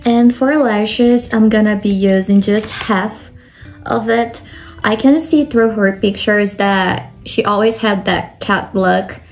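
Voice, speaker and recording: A young Brazilian woman with a Brazilian Portuguese accent speaks English as her second language, talking viewers through a makeup look one step at a time. Her voice sits at an everyday middle pitch, with fillers dropped in as she works. Soft music plays faintly underneath. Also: takes it slowly. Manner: step-by-step explaining, frequent "like" fillers. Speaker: makeup vlogger. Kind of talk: makeup tutorial talk.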